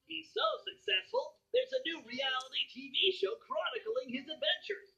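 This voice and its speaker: A man's voice: very high voice, sing-song, normal speed, bright, forceful tone, lo-fi sound, exaggerated voice. A man voicing a nerdy, brainy turtle sidekick who narrates a cartoon video game in a precise, wry storytelling manner. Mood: wry, upbeat storytelling.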